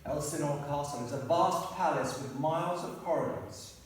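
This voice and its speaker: A man's voice: fairly high pitch, normal speed, recorded from a distance, a little hiss or hum, close to an everyday voice. A man playing a flustered, exasperated narrator and director in a live comic radio-play staging. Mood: narrating. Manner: exasperated, lively. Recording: echoey hall, picked up from afar.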